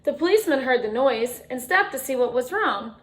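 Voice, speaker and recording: A woman reading a picture book aloud to children like a teacher, expressively, with dramatic emphasis and chatty asides. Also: high voice. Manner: expressive, dramatic emphasis, drawn-out refrains, chatty questions to young listeners. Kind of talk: animated children's storytime read-aloud with asides to listeners.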